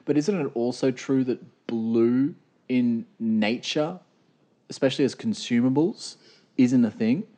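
A man's voice: unhurried, warm tone. Joking man in casual banter. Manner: jokey, teasing, bursts into high laughs. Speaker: podcast co-host.

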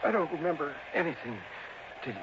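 Wounded treasure hunter male actor: halting, dazed, fairly high voice, noisy old radio drama. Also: animated, muffled recording, natural voice. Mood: dazed, strained. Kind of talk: radio crime drama acting.

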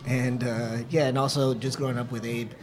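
Animated New York man in his thirties. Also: medium pitch, brisk, crowd noise. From New York, United States. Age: 30s. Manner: animated storytelling, fillers, laughs. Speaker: poet, interview guest. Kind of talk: conversational interview.

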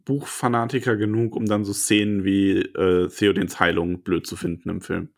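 Husky-voiced man in joking podcast talk. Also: deep voice, level tone, moderate pace. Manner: joking, giggly banter. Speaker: podcast co-host. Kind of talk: casual podcast chat.

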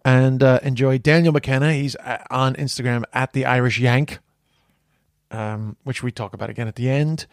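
A man's voice: mid-range voice, steady tone, normal speed, no room sound, studio quality. Stammering American man in his forties. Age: 40s. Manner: rambling, stammering restarts. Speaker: podcast host. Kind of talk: casual podcast chat.

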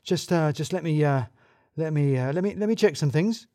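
A jittery, paranoid office manager played by a male storyteller in an audio drama. He pushes his words out loudly and a little high, stammering and repeating himself in blustering emphasis. The sound is close and dry. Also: moderate pace, no echo, lightly acted. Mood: rattled, stalling.

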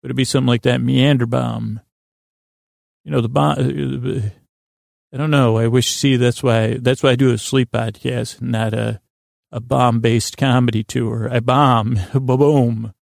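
A man hosting a sleep podcast in a meandering monologue, stumbling over words, circling back and gently goofy and self-deprecating. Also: medium pitch, little pitch movement, a little slow, creaky, dry recording, studio quality. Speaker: podcast host.

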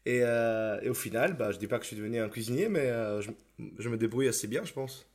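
A young man from Italy, a chef, talks through his answers in a loose, rambling way, often restarting and poking fun at himself. His voice sits at an ordinary middle pitch. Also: quick pace. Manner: rambling, self-deprecating, with restarts. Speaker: chef, interview guest. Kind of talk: casual interview answers. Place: home, remote call.

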